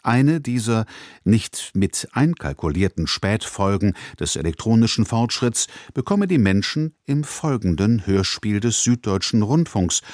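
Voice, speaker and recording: A man presenting on radio, reading a scripted introduction in a measured, articulate way. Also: lowish voice, darkish tone, no room sound, clean studio sound. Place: dry broadcast studio.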